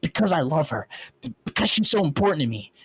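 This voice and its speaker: A man voicing an excitable lovestruck young hero. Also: on the high side, fast talker, husky, exaggerated voice. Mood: pleading.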